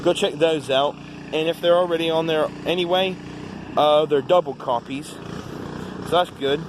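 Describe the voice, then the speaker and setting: Teenage American boy vlogging over loud outdoor noise. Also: fairly high pitch, fairly even intonation, a little slow. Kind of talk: casual phone vlog recapping the day. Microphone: phone. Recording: noisy outdoor phone recording with traffic.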